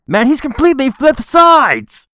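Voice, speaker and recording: A man voicing a panicky, indignant government agent in a comic dub, shouting frantically. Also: very high-pitched, huge pitch swings, a little slow, no echo, studio quality, full character voice. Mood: alarmed. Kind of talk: comic book dub acting.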